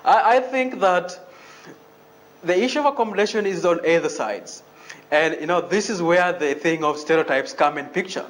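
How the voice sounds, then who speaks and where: A young man from Uganda speaks in a measured, careful way and often pauses on "you know" while he answers questions. His voice sits a little higher than most men's. He talks as a student leader in a panel interview. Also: low-quality recording. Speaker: student association president.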